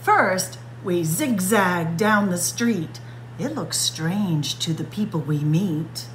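A woman reads a picture book to young children at a very slow, careful pace. Her pitch moves in lively swings as she draws out and acts out the words. There is a faint noise and hum behind her in the room. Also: rough recording. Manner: expressive, child-directed delivery, stretching and acting out words. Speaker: librarian reading aloud for children. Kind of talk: picture-book story time read-aloud. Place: indoors, library room with bookshelves.

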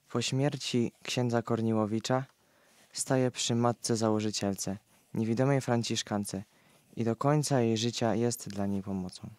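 A man's voice: medium pitch, a little mellow, no room sound. A man reading scripted documentary narration for an audio play.

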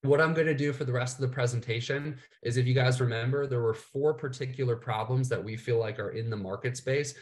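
A man's voice: mid-range voice, a little fast, hardly any pauses. A man in his thirties with a cold, presenting slides in a casual way, saying "all right" and "uh" a lot. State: has a cold, slightly nasal, coughing. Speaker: business coach presenting to coaches. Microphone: webcam, screen-share video call.

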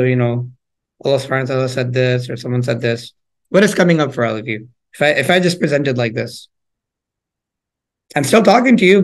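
A man giving an interactive workshop from home over a video call, chatty and searching, with plenty of fillers and restarts. He throws questions to his listeners and picks up on their answers. His voice sits at an ordinary middle pitch through a laptop mic. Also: poor audio. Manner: conversational, engaging the audience with questions; frequent fillers and repeated words. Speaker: workshop presenter. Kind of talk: interactive parenting workshop talk.

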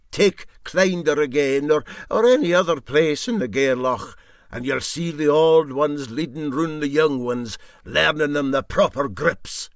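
An old Scottish boat skipper from a storybook, acted out by a man, spinning indignant tall tales. The delivery is droll and emphatic, with animated swings in a slightly raised pitch. The sound is dry and close. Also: dry recording. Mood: boastful.

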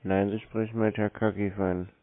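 A man talks casually on the phone in a drowsy, laconic, deadpan way. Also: lowish voice, normal speed. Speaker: scambaiter taking cold calls. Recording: muffled narrowband phone line.

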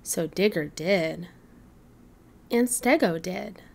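A woman narrating a children's bedtime storybook in a deliberate, animated read-aloud manner. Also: lowish voice, vocal fry. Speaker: children's book reader.

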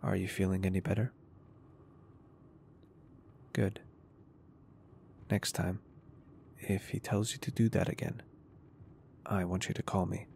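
A male performer plays a confident, protective businessman in an intimate ASMR roleplay. His voice is low with a gravelly fry, and he talks in a polite, reassuring and earnest way. There is noticeable background noise behind him. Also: little pitch movement, a little slow, pauses often, a little mellow. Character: caring, flirty, confident male business owner, gently protective.

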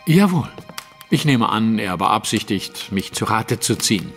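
An actor playing a self-assured gentleman detective in an audio drama, speaking formally. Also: fairly low pitch, animated, measured pace. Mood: smug, amused. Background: music.

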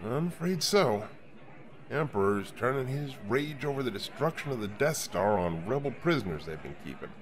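A man acts as a rebel starship captain in a space audio drama. He is respectful and dutiful with a dry, grim edge, and his mid-pitched voice moves in lively swings. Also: moderate pace, close to an everyday voice. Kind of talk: science fiction audio drama acting.

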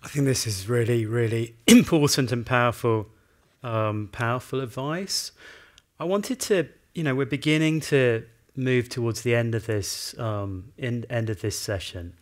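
A man moderating a conference panel, measured and posing questions with small restarts. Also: mid-range voice. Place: conference room.

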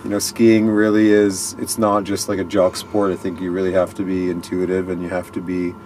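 A man's voice: sits low, monotone, fairly quick, darkish tone, a little hiss or hum. A man in his thirties, a ski racer being interviewed in a vehicle, talking earnestly in a rambling way with many restarts. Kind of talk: casual interview chat. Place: inside a small parked vehicle at night.

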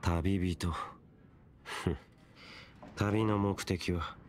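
A man's voice: low voice, steady tone, slight background noise. A man playing a mysterious, cold and composed young swordsman in an anime game, speaking tersely and coolly. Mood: cool, measured. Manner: cool, terse, deliberate.

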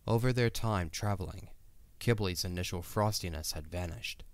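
A man's voice: sits low, moderate pace, clear, resonant tone, dry recording. A man reading scripted story narration for an audio drama.

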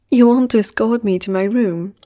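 A woman voicing a flirtatious, gentle female vampire noble in an intimate, teasing ASMR roleplay, soft and often close to a whisper. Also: on the high side, lightly acted.

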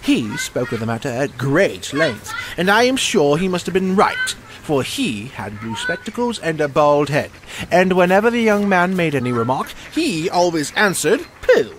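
A haughty, opinionated water-rat in an audio drama. The voice is high and very animated, full of self-importance, over faint background noise. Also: male voice, moderate pace. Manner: pompous, haughty. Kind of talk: theatrical audio drama acting.

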